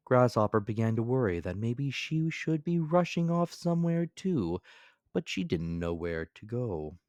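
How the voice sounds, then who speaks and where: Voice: low voice, a little mellow, dry recording, clean studio sound. A storyteller giving a dramatic scripted reading of a children's fable.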